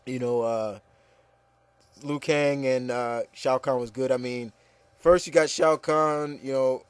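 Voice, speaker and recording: A man's voice: medium pitch, steady tone, slow, smooth, dry recording. A man hosting a casual panel chat about movies, talking in a rambling, enthusiastic way and often saying "you know". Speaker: host of an opinion show.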